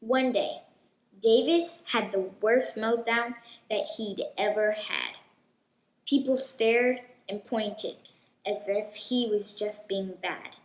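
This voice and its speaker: A woman hosting a children's read-aloud, telling a storybook in a deliberate, expressive way. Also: medium pitch, reverberant, poor audio.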